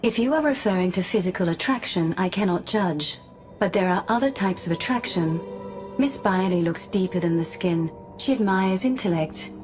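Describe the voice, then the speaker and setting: An actress playing a calm, polite mind-reading female robot in a radio drama, speaking in a measured, formal, precise way. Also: medium pitch, close to an everyday voice. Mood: calm, reassuring. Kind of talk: scripted radio drama acting. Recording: music playing underneath.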